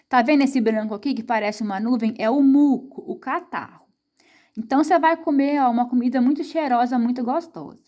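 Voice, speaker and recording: Brazilian lady teacher doing an online lesson, kinda high voice, asks herself questions and answers them. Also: darkish tone. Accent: Brazilian Portuguese. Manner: frequent tag questions to the viewer. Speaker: science teacher. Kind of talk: online science lesson for children.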